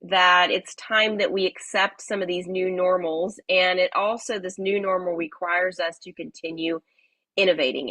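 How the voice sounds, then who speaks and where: A woman hosting a live webinar, talking in a friendly, chatty way with many fillers, slightly raspy from a cold. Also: fairly low pitch, a bit bright, dry recording. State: hoarse from a cold. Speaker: webinar host.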